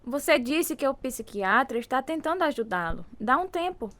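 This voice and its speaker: An actress playing a caring, anxious wife in a radio drama, with a Brazilian accent and a reassuring, pleading way of talking. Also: female voice, high voice, sing-song, moderate pace, fairly bright tone. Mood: encouraging. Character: caring, anxious, encouraging wife of a troubled man.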